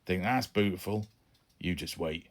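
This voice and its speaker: A man's voice: sits low, unhurried. A man voicing a middle-aged, roguish space pirate father with folksy, colloquial talk in a children's audiobook. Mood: affectionate.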